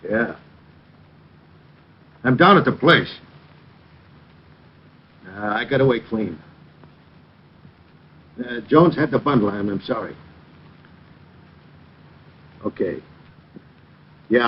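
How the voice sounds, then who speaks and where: An actor playing a furtive small-time crook, husky and hesitant, in an old-time radio crime drama. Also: male voice, medium pitch, lively intonation, slow, pauses often. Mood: nervous, apologetic. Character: furtive small-time male narcotics crook reporting to his boss. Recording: dull vintage radio recording.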